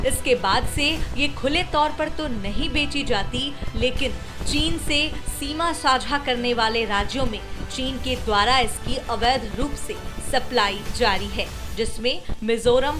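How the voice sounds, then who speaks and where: Female report narrator, high-pitched, scripted news read over a clearly audible music bed. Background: background music.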